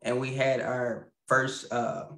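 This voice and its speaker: A man in his thirties speaks reflectively about his church and marriage, pausing with frequent ums. He is on a video call from a small room at home that sounds a little roomy. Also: medium pitch, flat delivery, sharp tone. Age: 30s. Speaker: assistant pastor. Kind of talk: casual interview chat.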